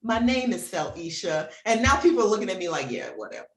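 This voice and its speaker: A woman's voice: medium pitch, very animated, fast, far from the mic. A woman in her fifties hosting a conversational interview, affirming her guests and repeating phrases for emphasis. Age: 50s. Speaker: host interviewing book co-authors. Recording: roomy echo with background noise.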